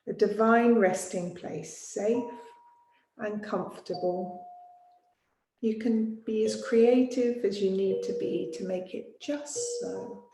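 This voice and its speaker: Slow, soothing woman guiding sleep meditation. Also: mid-range voice, a little hiss or hum. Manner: gentle, soothing, unhurried with long pauses. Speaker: yoga nidra teacher. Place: small studio. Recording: roomy echo, music behind voice.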